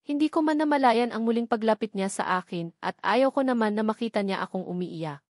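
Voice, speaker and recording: A woman's voice: on the high side, expressive, fairly quick, darkish tone, no room sound. Woman narrating a novel, dry sound. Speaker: audiobook narrator. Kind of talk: scripted first-person novel narration.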